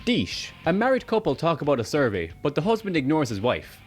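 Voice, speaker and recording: A young man with an Irish accent narrating a scripted video with sardonic, deadpan humour. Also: on the high side, brisk, soft background music. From Ireland. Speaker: video essayist.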